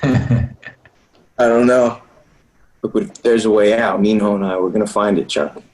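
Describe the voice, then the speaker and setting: An actor playing an earnest, guilt-ridden young leader in a table read over a video call. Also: male voice, lowish voice, fairly even intonation, measured pace, a little mellow, natural voice, poor audio. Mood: reassuring, determined. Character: earnest, guilt-ridden young man leading trapped boys. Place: remote video call reading.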